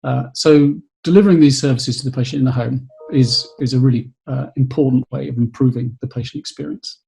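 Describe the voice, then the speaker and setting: Gravelly, husky man pitching his company. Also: steady tone, mellow, faint music behind, low-quality recording. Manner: measured, with frequent hesitations and repeats. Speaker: company executive. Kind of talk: webcast pitch.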